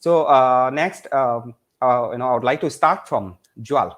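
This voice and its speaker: A man's voice: mid-range voice, normal speed, fairly bright tone. A man hosting an online panel, full of fillers like "uh" and "you know", now and then switching into Bengali. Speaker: host.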